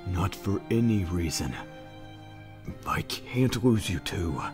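A man voicing a big, gentle, fatherly monster king in a comic dub, worried, earnest and solemn. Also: on the low side.

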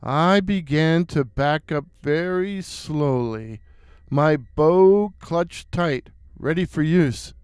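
A man's voice: a little high, takes it slowly, a little mellow, clear, resonant tone, no room sound, clean studio sound. A man narrating a first-person horror story in a suspenseful way, repeating words for effect.

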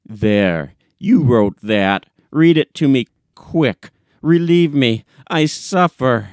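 A man voicing an agitated, crazed male character with an urgent, excitable manner in a storybook reading. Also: medium pitch, slow pace, dry recording, clean studio sound. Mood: desperate urgency.

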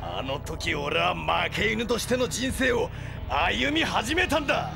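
Hot-blooded heroic fighter, gravelly and very animated, melodramatic anime audio drama delivery. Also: male voice, sits high, measured pace, over music, exaggerated voice. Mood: grave resolve. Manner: impassioned, melodramatic.

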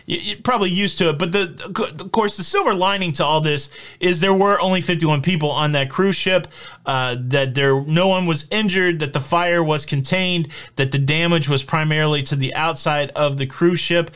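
A man's voice: a little high, moderate pace, fairly bright tone. A man in his forties hosting a casual news vlog from a home studio, talking in a chatty, upbeat, jokey way with plenty of fillers. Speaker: host. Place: home studio with shelves of collectibles.

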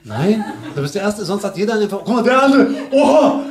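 A man in his thirties doing loud, teasing crowd work at a live stand-up show, slangy and laughing often, into a handheld mic. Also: high voice, big pitch swings, quick pace. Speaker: stand-up comedian. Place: small comedy club stage with audience. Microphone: handheld stage mic. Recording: echoey club with audience noise.

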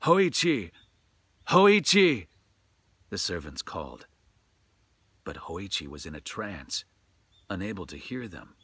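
A man's voice: rumbling low, animated, measured pace, pauses often, bright, forceful tone, breathy edge. A middle-aged man who works as a storyteller, talking and narrating in a dramatic way. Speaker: storyteller, voice actor. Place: outdoors in a forest.

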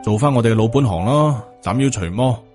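Stoic Taoist master for an audiobook, read by a man, Hong Kong Cantonese, subdued and lowish, unhurried, faint music. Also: lightly acted. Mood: resigned. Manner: flat, unhurried, lecturing. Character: stoic, world-weary male Taoist master.

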